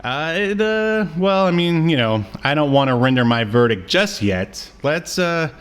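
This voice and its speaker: A man's voice: fairly high pitch, expressive, a little slow, a bit bright, clear, resonant tone. A man hosting a podcast, chatting casually about old media with a joking, teasing manner. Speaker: podcast host.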